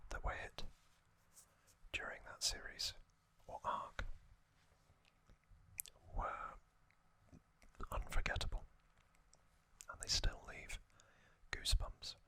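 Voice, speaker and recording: A man narrating in a breathy ASMR whisper, gently and in short phrases with long pauses. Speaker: ASMR video host.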